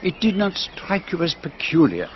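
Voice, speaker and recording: An actor playing a shrewd, courteous retired Belgian detective in a radio drama, with a French-speaking Belgian accent and precise, formal, teasing delivery. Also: male voice, fairly high pitch, big pitch swings, moderate pace, lo-fi sound, slight background noise. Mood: shrewd, knowing.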